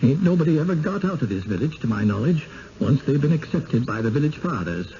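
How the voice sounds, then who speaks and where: An actor playing a frightened, stubborn old village man with a rural American accent and a folksy, halting manner in a radio drama. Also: medium pitch, sing-song, warm tone. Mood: wary, resigned. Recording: muffled, slightly noisy vintage radio recording.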